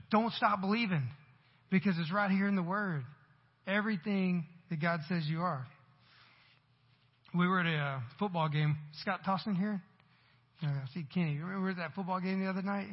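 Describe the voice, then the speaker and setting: Male pastor, 40s, husky and high-pitched, conversational sermon with emphatic peaks; long hall reverb, dull recording. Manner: conversational preaching with rising emphatic bursts. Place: church sanctuary.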